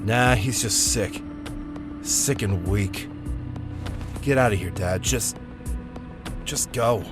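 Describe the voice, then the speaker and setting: An actor playing a tough young street vigilante, blunt, slangy and fed up, in a comic-book audio drama. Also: male voice, lowish voice, slow pace, music in the background.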